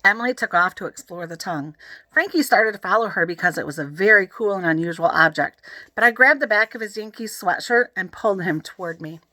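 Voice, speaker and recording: A woman reading a children's book aloud in an expressive, animated way, with chatty asides to the listeners. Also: medium pitch, fairly quick, no echo. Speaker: read-aloud narrator.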